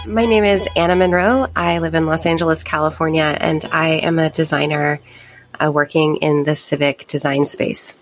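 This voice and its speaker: Friendly lower-voiced woman designer. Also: steady tone, moderate pace, faint music behind. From rural Georgia, US. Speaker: civic designer guest.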